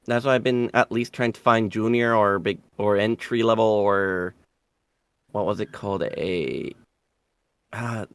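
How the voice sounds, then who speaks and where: A man's voice: on the low side, unhurried, clear, resonant tone, no room sound. A young man from Puerto Rico talking casually as an interview guest, rambling and self-deprecating, with the odd swear word. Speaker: streamer guest.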